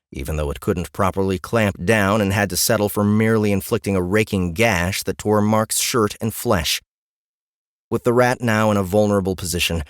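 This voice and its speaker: A man narrates a fantasy audiobook in a low voice. His reading is scripted and dramatic, recorded close and dry as in a studio. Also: no room sound.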